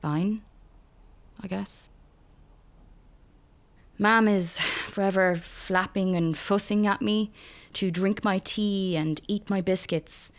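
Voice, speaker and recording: Young Irish woman, therapy patient, played by an actress: mid-pitched, wry, guarded, hesitant, deadpan. Natural radio-play delivery. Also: natural voice. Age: young adult. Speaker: actor in a radio drama. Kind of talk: naturalistic radio drama acting.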